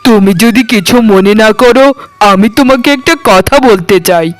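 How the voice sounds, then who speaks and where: A man's voice: high-pitched, normal speed, no echo, close to an everyday voice. A man voicing a noble, protective young landlord's son in a cartoon, formal and declamatory. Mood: kindly. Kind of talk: Bengali cartoon voice acting.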